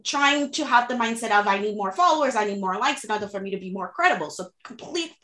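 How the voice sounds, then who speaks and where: Female coach as interview guest, Haitian accent, native French and Creole speaker, forceful and frank, echoing room sound. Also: medium pitch, animated, quick pace. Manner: emphatic, frank, motivational. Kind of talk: conversational advice-giving.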